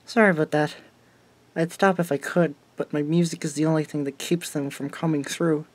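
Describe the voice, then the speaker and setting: A man's voice: fairly high pitch, steady tone, fairly quick, dry recording, natural voice. A man playing a paranoid, intense teenage boy in an audio drama, with a cynical, conspiratorial manner.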